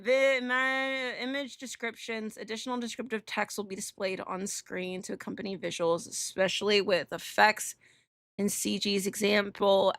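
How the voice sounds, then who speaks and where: A woman hosting a casual game livestream, chatting bluntly and irreverently and reading on-screen text aloud in an animated way. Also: a little high, no room sound. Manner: foul-mouthed, irreverent, blunt. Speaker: live streamer hosting a visual novel playthrough.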